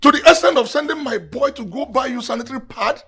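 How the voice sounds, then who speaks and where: An actor with a Nigerian accent playing an angry, jealous husband and household boss, shouting and scolding in a film drama. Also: male voice, very high-pitched, very animated, moderate pace, soft background music, exaggerated voice. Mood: outraged, suspicious.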